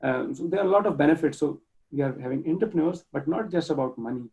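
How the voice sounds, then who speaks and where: A man's voice: on the high side, fast talker, gravelly, a little mellow. A man from Pakistan with a Pakistani accent, talking earnestly as an interview guest over a video call, often restarting words as he searches for phrasing. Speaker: guest, project manager and nonprofit volunteer. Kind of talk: casual interview talk. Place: home room, indoors. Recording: dull lo-fi video call audio.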